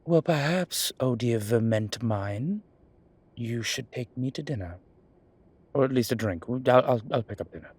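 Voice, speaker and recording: A man playing a fond, teasing vampire deacon in an ASMR roleplay, with a breathy voice and theatrical, sardonic phrasing. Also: medium pitch, animated, unhurried, a little mellow. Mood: flirtatious, playful. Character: male vampire deacon, fond, teasing, exasperated, protective, dryly witty. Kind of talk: ASMR roleplay audio drama addressed to the listener.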